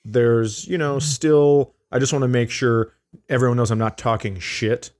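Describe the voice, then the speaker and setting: A man's voice: medium pitch, steady tone, normal speed, a little mellow. A man co-hosting a podcast in casual comedic banter, teasing, rambling off on tangents and swearing. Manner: profane, teasing, digressive. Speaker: podcast co-host.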